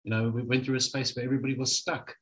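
A man's voice: medium pitch, level tone, racing. A middle-aged man co-hosting a casual video-call chat, speaking emphatically and repeating his phrases. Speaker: co-host. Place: small home room, video call. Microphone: shared desk microphone. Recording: noisy video-call audio.

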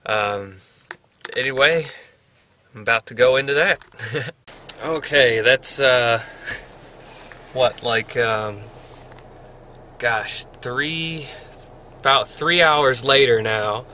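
Bright-voiced young man rambling in a car. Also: medium pitch, slow pace, pauses often, slight background noise. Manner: rambling, hesitant, self-correcting with chuckles. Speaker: vlogger. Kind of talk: casual video diary. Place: parked car. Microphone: handheld camera at arm's length.

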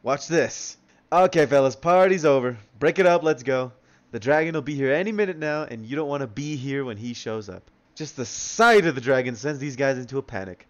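A small, plucky cartoon creature voiced by a man talks with brash confidence. The delivery is breezy and very animated, made for a comic-book readthrough. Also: mid-range voice, lo-fi sound, lightly acted. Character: small cartoon creature, cocky, reassuring little hero.

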